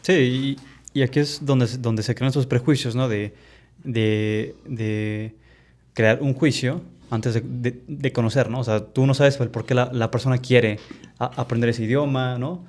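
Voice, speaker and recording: Hesitant man, mid-pitched. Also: fairly even intonation, unhurried, a little mellow. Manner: hesitant, restarts words often. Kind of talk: casual chat.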